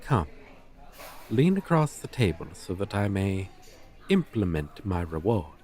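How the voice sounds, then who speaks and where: A man's voice: deep voice, sing-song, unhurried, a little mellow. A man voicing a proud, centuries-old dragon teacher with stilted, archaic, formal diction in an ASMR roleplay. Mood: gently amused.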